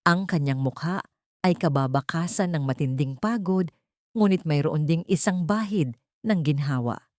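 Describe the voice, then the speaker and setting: Low, mellow woman storyteller. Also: no room sound, clean studio sound. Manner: measured, dramatic. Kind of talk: scripted dramatic audiobook narration.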